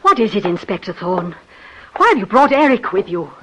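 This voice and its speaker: A woman's voice: medium pitch, big pitch swings, moderate pace, fairly bright tone, breathy edge. An actress playing a proud, wealthy woman in love who is indignant, in 1950s radio drama style. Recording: old-time radio broadcast recording.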